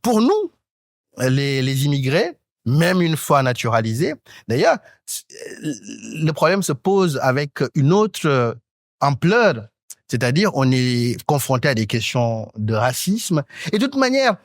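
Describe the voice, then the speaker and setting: Man, 30s, academic panelist, thoughtful pauses, mid-range voice, dry sound. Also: medium pitch, big pitch swings, no room sound. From Senegal. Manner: articulate and academic, pausing with hesitant fillers. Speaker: talk show panelist. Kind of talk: panel discussion.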